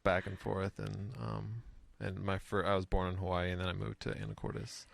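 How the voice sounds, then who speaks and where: A man's voice: low-pitched, little pitch movement, normal speed, vocal fry, dry recording. A man who is a drummer, talking casually and hesitantly in an interview, with many fillers. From USA. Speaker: band member, drummer.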